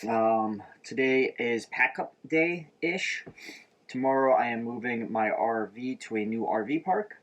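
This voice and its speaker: A man's voice: mid-range voice, a little slow, lo-fi sound. A man with a mid-range voice talks through his shaving routine. He is a little stuffed up, so his voice comes out slightly nasal. He rambles casually, with plenty of fillers. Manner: rambling, relaxed, many fillers. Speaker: shaving vlogger. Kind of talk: casual chat to camera. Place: inside an RV.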